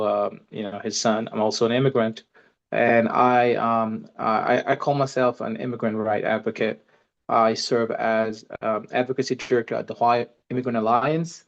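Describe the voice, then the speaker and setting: A low-voiced man with a Senegalese accent speaking over a video call. He speaks in a flat, gravelly tone with many fillers. The call audio is dull and lo-fi. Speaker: advocacy director. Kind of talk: casual self-introduction.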